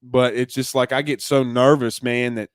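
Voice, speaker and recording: A young man rambles through a casual live sports chat, restarting his sentences and calling listeners man and bro. His voice is smooth and resonant, a bit congested, and it sits at a middling pitch. The sound is close and dry, with no room echo. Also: flat delivery, moderate pace. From Louisiana. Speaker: sports podcast host. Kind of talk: casual live-stream chat reading comments.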